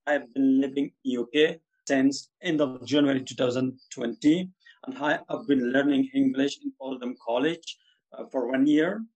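A man from Afghanistan, an ESOL student, answering podcast questions earnestly and haltingly, with frequent fillers. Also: mid-range voice, a little mellow, lo-fi sound.

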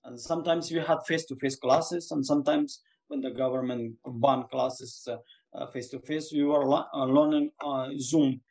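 A man from Afghanistan speaks with a gravelly, fry-edged voice. He answers as a student, halting often with fillers but staying earnest. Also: mid-range voice, fairly even intonation, darkish tone, poor audio. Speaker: ESOL student. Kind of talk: podcast interview answers.

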